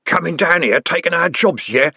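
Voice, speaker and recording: A man's voice: on the high side, normal speed, pushed and bright, husky, no echo, studio quality, voice pushed up. A man voicing a young dockhand football fan who is jokey and defensive.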